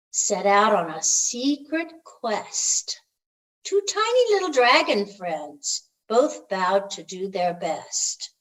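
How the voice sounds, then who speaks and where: Woman reading a children's story, lively swooping pitch. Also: low-quality recording. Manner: expressive, gentle storytelling with drawn-out phrase endings. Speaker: storybook reader. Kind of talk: children's storybook read-aloud.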